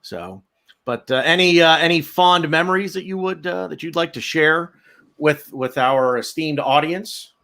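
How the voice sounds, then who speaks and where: A man's voice: fairly high pitch, animated, a little slow, creaky, pushed and bright. A man hosting a podcast in a loose, joking way, rambling through introductions in casual chat. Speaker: podcast host.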